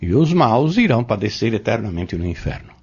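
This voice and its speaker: A man playing a patient, earnest Spiritist teacher in an audio drama, explaining step by step in a measured, didactic way. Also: fairly low pitch, big pitch swings, a little mellow, breathy edge, exaggerated voice. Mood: earnest, probing. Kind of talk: scripted audio drama dialogue. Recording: dull lo-fi audio.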